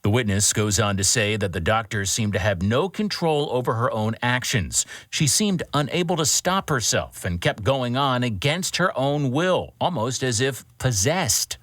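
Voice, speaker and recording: A man acting as a grave, authoritative news anchor reads a breaking bulletin. His medium-pitched voice is formal and dramatic, recorded very dry for an audio drama. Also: expressive, normal speed, few pauses, fairly bright tone, no room sound, close to an everyday voice.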